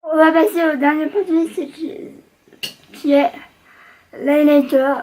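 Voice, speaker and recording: A woman with a high-pitched voice talks to her viewers as a beauty vlogger. Her delivery is casual and slangy, full of gushing enthusiasm. A faint layer of noise sits behind her voice. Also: fairly even intonation, unhurried, darkish tone, low-quality recording. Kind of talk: casual makeup product chat to viewers.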